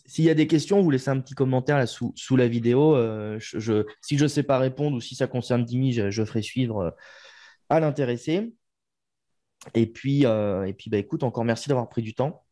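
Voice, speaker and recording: Male podcast host, laid-back conversational delivery, warm low timbre, casual interview. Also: a little fast. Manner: relaxed, chatty, trails off with "quoi".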